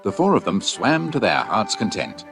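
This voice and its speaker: A man's voice: on the low side, huge pitch swings, moderate pace, creaky, fairly bright tone, soft background music. A man reading scripted audiobook narration as a storyteller.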